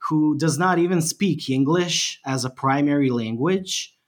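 A man's voice: on the high side, a little slow. A man from Bosnia and Herzegovina, a podcast guest chatting animatedly and mocking himself with frequent laughs. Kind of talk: casual interview chat.

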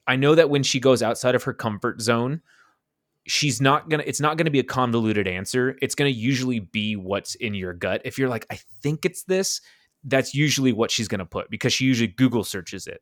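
A man's voice: medium pitch, a little fast, no echo, clean studio sound. A man in casual podcast chat, rambling and thinking aloud with a self-deprecating tone.

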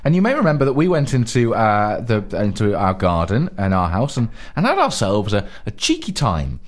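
A man's voice: lowish voice, wildly swooping pitch, normal speed. A man co-hosting a comedy podcast, telling rambling stories and breaking into giggles. His voice swings widely up and down as he talks. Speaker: podcast co-host. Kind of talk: casual comedic chat.

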